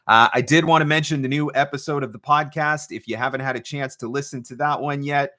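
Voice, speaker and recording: A man's voice: medium pitch, steady tone, fairly quick, pushed and bright. A man hosting a casual, off-the-cuff livestream as a coach, chatting informally with a playful, teasing streak. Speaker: livestream host and voiceover marketing coach. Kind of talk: casual live chat, off the cuff.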